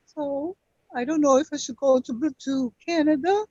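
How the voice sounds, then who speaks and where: A woman with a Brazilian Portuguese accent, Portuguese her first language, calling in and speaking halting, emotional English with many pauses. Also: sits high, mellow, no room sound. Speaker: caller. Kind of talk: call-in conversation. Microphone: video call. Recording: lo-fi video call audio.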